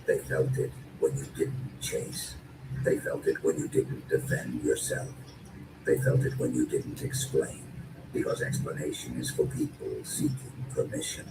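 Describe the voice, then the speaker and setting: A man performing dark, dramatic spoken-word narration in short, deliberate and menacing phrases, as a narrator. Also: extremely low pitch, steady tone, vocal fry, echoey room. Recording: distant, noisy lo-fi recording.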